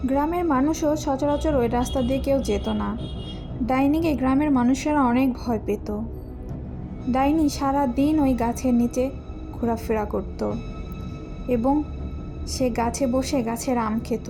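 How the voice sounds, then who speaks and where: A woman's voice: high-pitched, steady tone, moderate pace, pauses often, over music. A woman giving scripted storytelling narration for a cartoon tale.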